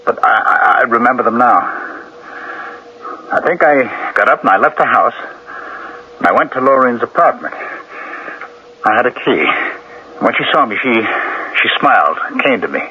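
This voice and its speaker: A man's voice: mid-range voice, expressive, moderate pace, pauses often, a little hiss or hum, lightly acted. An actor playing a troubled, guilt-ridden married man in a 1970s radio drama, speaking hesitantly and earnestly. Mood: haunted, anguished. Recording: muffled vintage radio recording.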